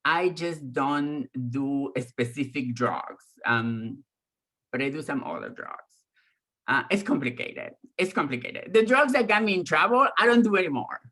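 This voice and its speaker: A woman's voice: low-pitched, expressive, a little slow, fairly bright tone. Frank woman with an Argentine accent. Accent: Argentine Spanish. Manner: candid, frank and profane, with many fillers; mocks others in raised voices when quoting them. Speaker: guest speaker. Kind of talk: personal talk to an online audience.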